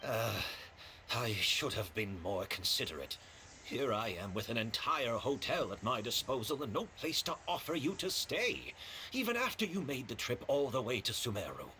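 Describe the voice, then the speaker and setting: A man's voice: medium pitch, expressive, normal speed, sharp tone, slight background noise. An actor playing a courteous, apologetic male hotel host in a video game, with a polite, explanatory way of talking.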